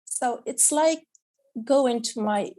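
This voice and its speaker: Hesitant Moroccan-born woman author, soft mellow voice. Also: fairly high pitch, slow. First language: Arabic. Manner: hesitant, searching for words. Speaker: children's author. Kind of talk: webinar interview answers. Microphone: video call.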